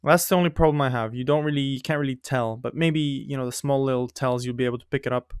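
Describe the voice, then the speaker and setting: A man's voice: mid-range voice, fast, clean tone, no room sound, clean studio sound. A young man, not a native English speaker, giving rambling, self-mocking commentary on his own game, often laughing. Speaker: gaming YouTuber. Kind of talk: casual gameplay commentary.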